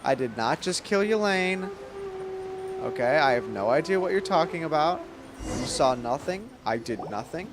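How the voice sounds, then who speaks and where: A man's voice: a little high, lively intonation, normal speed, background noise. A man giving casual, playful live gameplay commentary as a streamer, informal and slangy. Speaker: game streamer.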